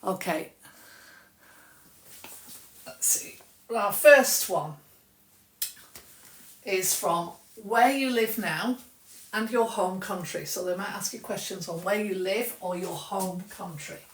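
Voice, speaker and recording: A woman from England with a softened Mancunian accent, teaching English in a live stream and chatting with viewers, often restarting her sentences. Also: mid-range voice, slow pace, pauses often, roomy sound, recorded from a distance.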